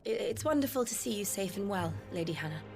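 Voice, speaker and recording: An actress playing a calm, courteous young noblewoman in a video game cutscene. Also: medium pitch, fast talker, soft background music, natural voice. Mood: gentle. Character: composed young noblewoman, gentle and polite.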